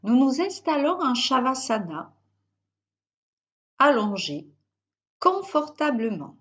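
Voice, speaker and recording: A woman guiding a yoga nidra relaxation as a teacher, in short, measured instructional phrases with pauses. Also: high-pitched, big pitch swings, a bit bright, dull audio. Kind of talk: guided yoga nidra meditation.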